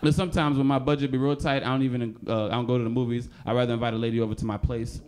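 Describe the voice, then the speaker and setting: A man's voice: mid-range voice, steady tone, rapid-fire, darkish tone, smooth. A young man in his early twenties doing a stand-up comedy set on a club stage, slipping into exaggerated character voices. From Haitian background. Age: young adult.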